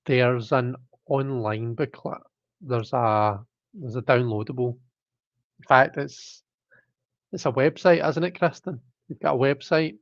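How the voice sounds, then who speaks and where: A man's voice: mid-range voice, a little slow, no room sound, muffled recording. A man hosting a live stream, chatting casually and reading chat, rambling with many fillers and restarts while his throat keeps catching. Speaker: livestream host reading chat.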